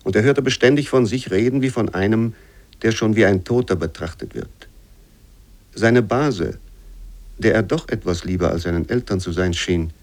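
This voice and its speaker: Male actor as sober reader of a bleak memoir for radio; lowish pitch, measured literary recitation. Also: a little mellow, close to an everyday voice. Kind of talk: scripted literary reading for radio.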